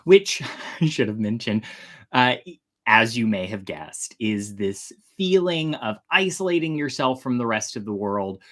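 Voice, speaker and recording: Storytelling host, medium pitch, informal and chatty, explaining from notes, hedging phrasing, talking directly to viewers. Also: a bit bright. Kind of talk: casual explanatory chat to viewers, reading from notes.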